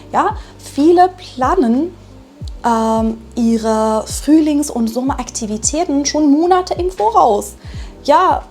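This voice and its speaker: Woman from Iran, teacher-vlogger, high voice, deliberate and unhurried, soft music under her. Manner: deliberate, with frequent tag questions. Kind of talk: slow, clear vlog narration for learners.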